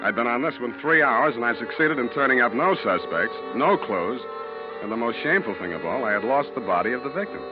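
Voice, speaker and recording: An actor playing a wry, street-wise American private detective, deadpan and wisecracking, in 1940s radio style. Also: male voice, mid-range voice, fairly quick, close to an everyday voice. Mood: wry, narrating. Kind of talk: 1940s radio acting, narration and dialogue. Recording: muffled 1940s radio recording, music behind.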